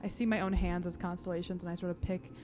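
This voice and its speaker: A woman playing a tabletop roleplaying game, describing her character's actions in a halting, detailed way. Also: on the low side, fairly even intonation. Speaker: player. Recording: prominent music behind the voice.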